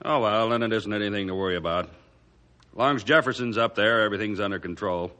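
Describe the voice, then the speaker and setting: A man's voice: fairly low pitch, fast talker, sharp tone. A man playing a complacent American small-town mayor in a radio drama, folksy and dismissive. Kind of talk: radio adventure drama acting.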